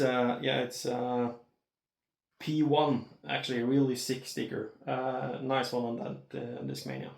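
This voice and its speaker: A Norwegian-accented man speaks at a slow, halting pace in a medium-pitched voice. He gives casual unboxing commentary, and the room adds noticeable echo. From Norway. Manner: hesitant, halting. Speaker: amateur video creator.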